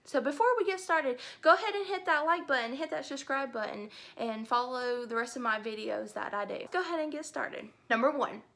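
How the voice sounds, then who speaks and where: A woman's voice: high voice, lively intonation, a little fast, few pauses, a bit bright. A woman in her twenties from Alabama chatting casually to camera about herself in a home room. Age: 20s. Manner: chatty, upbeat, enthusiastic. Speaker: book vlogger. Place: indoor room with bookshelves.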